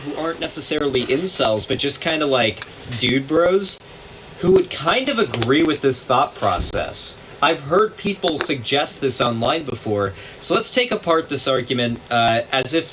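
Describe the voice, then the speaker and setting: A man co-hosts a casual reaction commentary, working through a point step by step and often stopping to restart. Clear background noise and clicks run under his voice. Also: mid-range voice, animated, a little slow. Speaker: co-host reacting to a video.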